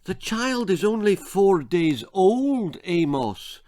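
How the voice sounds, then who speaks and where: A man's voice: high voice, very animated, slow pace, a little mellow, voice pushed up, full character voice. A man voicing a timid, anxious middle-aged mother in a children's story, meek and plaintive. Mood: worried reasoning. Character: timid, anxious forty-year-old mother.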